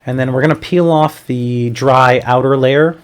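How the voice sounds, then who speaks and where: Young man, cooking vlog host, upbeat and chatty, mid-pitched voice, light music underneath. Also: fairly even intonation, moderate pace, clean tone. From Puerto Rico. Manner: upbeat, chatty, step-by-step instructions. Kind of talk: casual recipe walkthrough.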